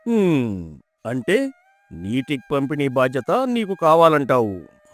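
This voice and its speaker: A man's voice: mid-range voice, wildly swooping pitch, slow pace. Commanding folk-tale king played by a man, music behind. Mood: stern, questioning. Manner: commanding, declamatory. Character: stern, authoritative adult king passing judgement in folk tale. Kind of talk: dramatised folk-tale acting.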